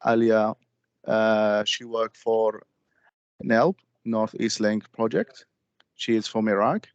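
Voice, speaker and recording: Low-voiced man presenting to a class. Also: takes it slowly, clean tone, no room sound, dull audio. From Syria. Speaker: student presenter. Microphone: video call.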